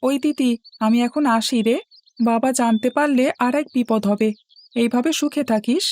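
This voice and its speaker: A woman's voice: fairly high pitch, normal speed, a little mellow, close to an everyday voice. A woman voicing an affectionate, anxious young boy, a little brother in a fairy-tale cartoon. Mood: earnest.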